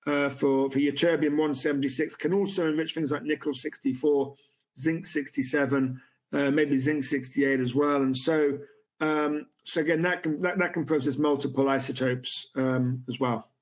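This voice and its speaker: A man's voice: a little high, fairly even intonation. A man who runs a company, answering investor questions in a conference Q&A over a video call at night, restarting his sentences often and filling them with "um" and "you know". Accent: non-American. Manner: halting, many restarts and fillers. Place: room with a city view at night.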